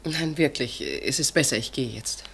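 A woman's voice: extremely low pitch, moderate pace, fairly bright tone, breathy edge, heavily acted. An actress playing a dutiful, self-sacrificing unmarried woman in her thirties in a German radio play, speaking politely and with restraint. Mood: hurt, firm. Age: 30s. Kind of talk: German radio play acting, intimate naturalistic dialogue.

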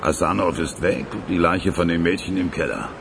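An actor playing a cocky, callous killer in a German radio crime drama, defensive and matter-of-fact about murder. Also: male voice, deep voice, moderate pace, gravelly, noisy background. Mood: callous reporting. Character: cocky, callous male killer in a criminal gang.

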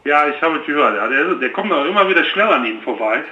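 A man's voice: fairly high pitch, expressive, fast talker, sharp tone. A man taking a phone call, answering patiently and earnestly in unscripted conversation. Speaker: call taker being pranked. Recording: muffled phone line, faint noise.

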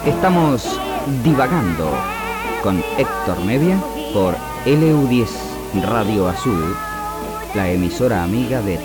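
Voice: medium pitch, expressive, slow pace, long pauses. An announcer reading a scripted station identification on an Argentine radio broadcast. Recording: 1969 radio archive, loud music. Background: loud music.

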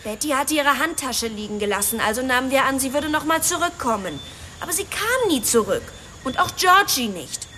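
An actress in a German radio drama playing a spoiled rich daughter who confesses emotionally and justifies herself. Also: female voice, high voice, animated, brisk, sharp tone, a little hiss or hum. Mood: defensive, bitter.

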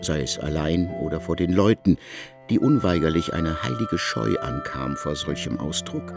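Knarzige, tiefe Erzählerstimme. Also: male voice, very deep voice, animated, normal speed, vocal fry, music in the background. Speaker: narrator. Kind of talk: solemn literary narration.

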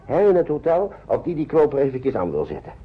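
An actor playing a kindly, reassuring uncle who coaxes small children along in a naturalistic radio play. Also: male voice, fairly high pitch, huge pitch swings, fast talker, husky, heavily acted. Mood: patient. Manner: coaxing, reassuring, often ends on a questioning tag. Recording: dull old radio recording, faint noise.

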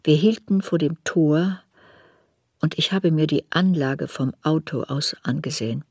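An actress playing an older woman writer who soberly looks back on her youth in a measured, reflective radio drama narration. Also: low-pitched, a little mellow, breathy edge, no room sound, clean studio sound, heavily acted. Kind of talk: literary radio drama narration in first person.

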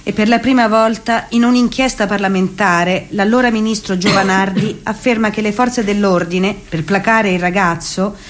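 A woman's voice: mid-range voice, steady tone, moderate pace, few pauses. A woman narrating a scripted documentary play for radio as one of its actors.

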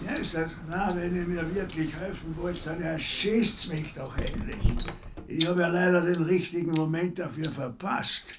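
A man's voice: fairly high pitch, steady tone, normal speed, noisy background, close to an everyday voice. An actor playing a bitter, sarcastic bedridden old man in a radio drama, mocking and blunt, with an Austrian accent. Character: bitter, sarcastic, bedridden old male care-home patient.

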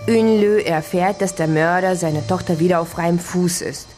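A woman acting as a sceptical investigator's colleague, her voice on the low side. She is direct and inquisitive, reasoning aloud in natural radio-drama manner. Soft music runs faintly underneath. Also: normal speed, lightly acted. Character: sceptical, inquisitive female colleague working through a case.

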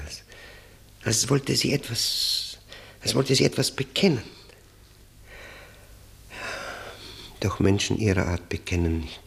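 Radio drama casting: broken, haunted man, low creaky voice, weary halting confessions. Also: exaggerated voice. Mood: weary, confessing. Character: broken, brooding man confessing a tormented love. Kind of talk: 1960s German radio play acting.

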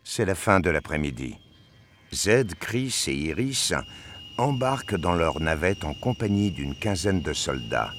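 A man's voice: low-pitched, animated, measured pace, a bit bright, a little hiss or hum. A man reading scripted narration for a science fiction audio drama.

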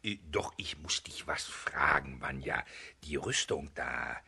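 An actor playing a humble but sly old discharged soldier with a rough voice and deferential manner in a children's radio play. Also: male voice, a bass voice, animated, measured pace, bright, forceful tone, exaggerated voice. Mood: hushed, warning. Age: older. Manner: servile, deferential, chuckling.

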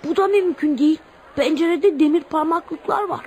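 A very high, childlike voice in a noisy radio drama recording, a young schoolboy. He sounds innocent and curious, earnest and naively insistent with his older friend. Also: moderate pace, darkish tone. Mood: earnest, doubtful. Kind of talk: Turkish radio theatre acting.

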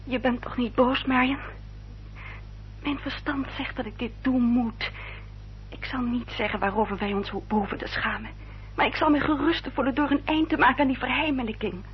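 A woman acting an earnest, dutiful young upper-class lady in a radio drama, speaking formally and with careful, measured diction. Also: high voice, close to an everyday voice. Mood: pleading, resolute. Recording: dull, noisy old radio recording with hum.

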